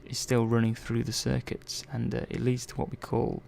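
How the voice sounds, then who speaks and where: Male technician, acted by a man, soft ASMR drama, heavy creak, mellow tone, halting and quietly protective. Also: mid-range voice, completely flat delivery, a little hiss or hum. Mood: cautious, reassuring. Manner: hesitant, halting, trailing off mid-sentence. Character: earnest, hesitant male robot repair technician, quietly protective. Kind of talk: soft-spoken ASMR roleplay.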